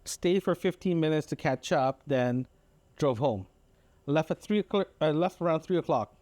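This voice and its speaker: A man's voice: on the high side, animated, normal speed, darkish tone, no room sound. A man from Canada hosting a casual audio diary, telling everyday stories in a rambling, repetitive, mildly exasperated way with frequent check-ins to the listener. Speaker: podcast host.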